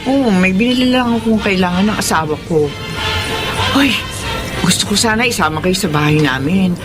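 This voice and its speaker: A woman's voice: medium pitch, normal speed, music in the background, natural voice. A woman playing a friendly, gushing old schoolmate in a Tagalog radio drama, exclamatory and sociable. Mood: excited, friendly.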